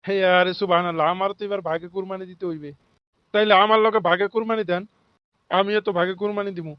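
A man's voice: high voice, fairly even intonation, fast talker, clear, resonant tone, no echo, lo-fi sound, lightly acted. A man voicing a corrupt, self-righteous office official in a comic cartoon, with a Bangladeshi regional accent and sanctimonious, pious phrasing. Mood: pious, friendly. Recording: loud music behind the voice. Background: loud music.

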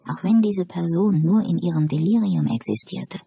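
A narrator plays a woman in an asylum from an audiobook novel, calm and philosophical. She explains things in a musing way, with a dark, husky voice. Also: mid-range voice, moderate pace, dry recording.

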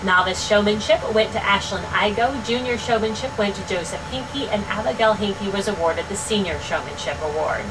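A young woman hosting a local radio station's daily fair update, reading results and schedules in a steady, upbeat scripted way. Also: medium pitch, a little fast, hardly any pauses. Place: studio with dark backdrop. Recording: distant mic with noticeable hiss.

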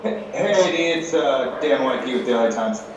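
A man's voice: mid-range voice, animated, normal speed, lo-fi sound. Male beat reporter, slight stumbles, far off, reverberant, noisy. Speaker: newspaper sports reporter. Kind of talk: press conference question. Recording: distant echoey room with background noise.

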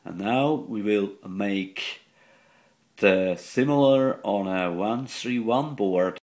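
A man who is not a native English speaker, giving a halting, matter-of-fact hands-on technical demonstration at a workbench. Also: lowish voice, darkish tone. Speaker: radio repair hobbyist. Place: indoors at an electronics workbench.

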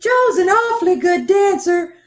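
Super high squeaky airhead secretary voice, old radio comedy, echoey. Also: female voice, lively intonation, moderate pace, faint music behind. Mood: oblivious. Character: ditzy, oblivious, self-absorbed female office secretary. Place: indoors at home on a video call. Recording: roomy echo on video call.

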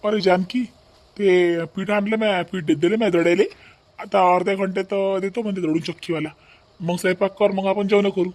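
A man voicing a mild, agreeable village husband in a family comedy cartoon, husky and gravelly, with a rural Vidarbha Marathi accent. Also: sits high, fairly even intonation, normal speed, a little mellow, close to an everyday voice. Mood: easygoing. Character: mild, agreeable village husband who defers to his wife.

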